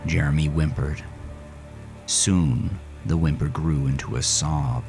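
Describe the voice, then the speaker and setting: Deep slow horror story narrator, man. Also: vocal fry, soft background music. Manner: slow, intense, brooding.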